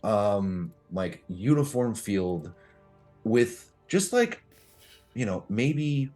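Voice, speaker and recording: Very low male game master voice, unhurried and halting, faint music behind. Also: big pitch swings, a little mellow. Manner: slow, hesitant, descriptive, many fillers. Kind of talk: narrating a tabletop game.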